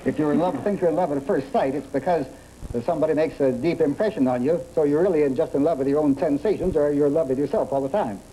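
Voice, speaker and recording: An older man, a marriage counselor guest on a quiz show, explaining in a measured, lecturing way. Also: on the high side, dark tone, poor audio. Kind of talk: interview answers. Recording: 1950s broadcast recording with faint hiss.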